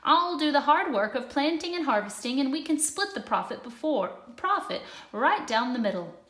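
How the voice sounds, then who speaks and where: A clever, scheming storybook hare played by a woman, pitched high and chipper. He pitches his deals like an eager salesman, persuasive and bright. The sound rings with room echo. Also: sing-song, moderate pace. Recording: echoey room.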